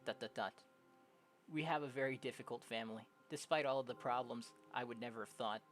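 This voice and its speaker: A young adult game streamer casually reading visual novel dialogue aloud, jokey and prone to loud exclamations. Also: mid-range voice, fairly quick. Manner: animated, jokey, exclaims loudly. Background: game music.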